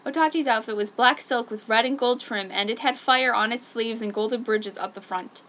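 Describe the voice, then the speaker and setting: A woman's voice: on the high side, moderate pace, fairly bright tone. A woman reading fan fiction aloud in an exaggerated, mock-serious dramatic style. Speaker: amateur fan fiction reader.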